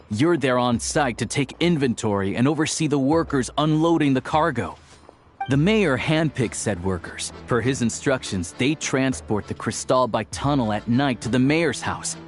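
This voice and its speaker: A man's voice: medium pitch, lively intonation, moderate pace, natural voice. A man playing a shrewd hunter in a video game, speaking with blunt, matter-of-fact confidence. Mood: accusing. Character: shrewd, blunt male hunter turned investigator. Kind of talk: dramatic video game voice acting. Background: faint background music.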